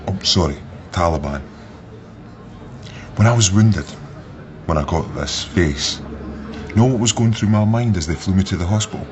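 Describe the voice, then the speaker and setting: An actor in a radio drama playing an intense, wry young ex-army officer with a Glasgow accent. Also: male voice, sits low, normal speed, pauses often, background noise, close to an everyday voice. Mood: intense, sardonic. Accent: Glasgow Scottish. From Glasgow, Scotland. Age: 30s. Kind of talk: naturalistic radio drama acting. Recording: muffled sound, busy crowd behind.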